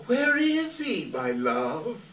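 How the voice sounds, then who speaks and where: An old gentleman, giddy and kindly, acted by a man on stage in a theatrical, exclaiming way. His pitch swoops widely and his pace is unhurried. It is heard from a distance in an echoey hall. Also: huge pitch swings, slight background noise, heavily acted. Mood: tender, hesitant. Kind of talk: readers theater stage acting.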